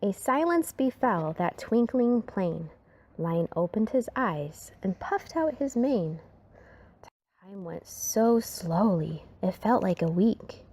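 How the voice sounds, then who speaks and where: A woman reading a rhyming children's story aloud in an expressive, dramatic way, sometimes dropping to a hush. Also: mid-range voice, slow pace, slightly rough.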